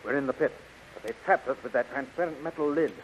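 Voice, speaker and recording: An actor playing a brave, gallant space hero, earnest and urgent, in 1930s radio serial style. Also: male voice, mid-range voice, fast, lightly acted. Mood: relieved. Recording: muffled, hissy 1930s radio recording.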